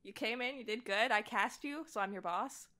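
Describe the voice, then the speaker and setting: Bright-voiced woman chatting casually. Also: fairly high pitch, normal speed. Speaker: podcast guest, voice director. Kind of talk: casual chat.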